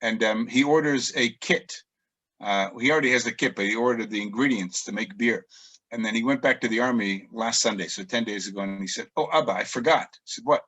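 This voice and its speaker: Man, middle-aged, mid-pitched voice, tour guide, chatty anecdotes, frequent ums. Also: a little fast, a bit bright, poor audio. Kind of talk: live virtual tour presentation with slides.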